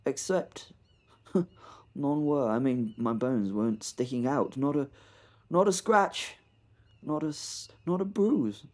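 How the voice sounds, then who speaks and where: Man as a bumbling WWI airman, stammering and wry, mellow middle voice. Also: medium pitch, expressive, slow, pauses often. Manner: flustered, self-mocking, stammering. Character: plucky British WWI pilot with a moustache. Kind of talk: comic first-person audio drama narration.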